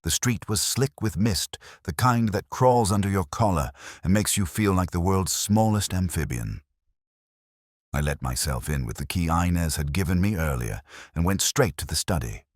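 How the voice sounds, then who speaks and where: A man's voice: low-pitched, expressive, moderate pace, no room sound, clean studio sound. A man narrating a hardboiled first-person detective story in the manner of an audiobook.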